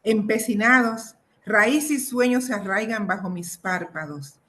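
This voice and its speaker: A middle-aged woman from the Republic, presenting and reading her own poetry in a deliberate, expressive way. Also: medium pitch. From Dominican Republic. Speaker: poet and professor presenting own work. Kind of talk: poetry reading with informal introductions.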